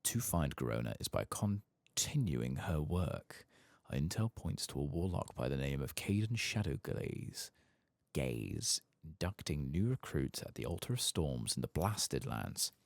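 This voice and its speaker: A man softly reading out the lines of a guarded, calculating fantasy spymaster in a game. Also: a bass voice, very animated, measured pace, creaky, no room sound, studio quality, full character voice. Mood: grim, businesslike. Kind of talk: soft-spoken reading of game quest text.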